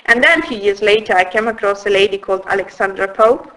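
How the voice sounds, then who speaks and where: Woman, Italian accent, forties, bright tone, halting, restarts, soft laughs. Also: mid-range voice, rough recording. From Italy. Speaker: interviewee. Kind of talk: personal life story in conversation.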